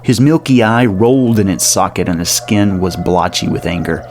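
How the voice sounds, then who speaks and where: Low-voiced man narrating horror. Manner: measured, literary, ominous storytelling. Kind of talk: dramatic horror story narration. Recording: music behind the voice. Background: soft music.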